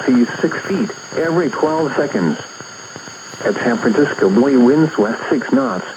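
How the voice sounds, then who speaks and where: A synthetic-sounding American man reads a scripted weather bulletin as an automated radio announcer, in a formal, measured way. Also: medium pitch. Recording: noisy, muffled weather radio reception.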